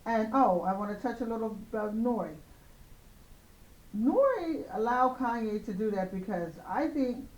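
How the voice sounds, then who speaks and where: A slightly hoarse woman hosting a show of casual opinion commentary on celebrity news, speaking in an emphatic, admonishing way. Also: on the high side, lively intonation, normal speed, darkish tone. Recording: roomy, echoing, dull lo-fi sound.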